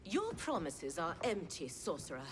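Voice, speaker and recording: A proud, scornful guardian warrior from a video game. Her voice is low and very expressive, defiant and suspicious, with music clearly behind. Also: normal speed. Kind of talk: dramatic video game acting.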